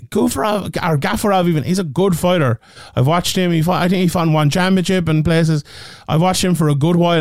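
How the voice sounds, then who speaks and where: A man's voice: fairly high pitch, clear, resonant tone, no room sound, clean studio sound. An Irish man hosting a casual betting show, thinking aloud and checking the odds as he talks. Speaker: host. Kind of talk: casual betting picks talk.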